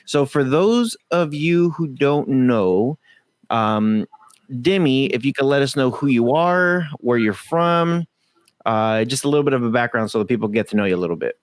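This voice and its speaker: A male podcast host introduces his guest casually, tripping over his words and restarting. His voice sits at an ordinary pitch for a man. The sound is dry and close, with no room around it. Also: lively intonation, moderate pace, clean tone, no echo. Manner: stumbling, repeats words. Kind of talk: casual interview talk.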